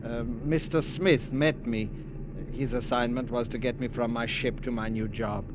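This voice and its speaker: An actor playing a weary defector in a 1950s radio thriller, speaking precisely and hesitantly. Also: male voice, mid-range voice, steady tone, normal speed, lightly acted. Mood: earnest. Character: weary male defector, soft-spoken and pleading. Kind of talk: 1950s radio drama acting. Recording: hissy old radio broadcast.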